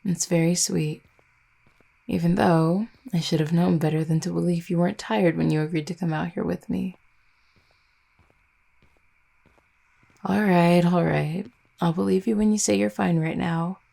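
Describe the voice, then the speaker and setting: A woman's voice: on the low side, steady tone, normal speed, creaky, mellow. A woman playing an affectionate, teasing vampire girlfriend in an intimate roleplay audio, speaking in a tender, conversational way.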